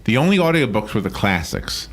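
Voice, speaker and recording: A man's voice: fairly low pitch, very animated, brisk. A middle-aged or older man hosting a casual radio interview, asking questions and reacting conversationally. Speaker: radio show host.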